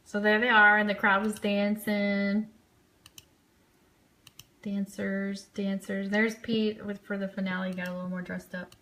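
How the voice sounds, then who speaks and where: A woman's voice: medium pitch, little pitch movement, unhurried, pushed and bright. A woman giving casual live commentary on trip photos as host of an online fan show, chatty and enthusiastic.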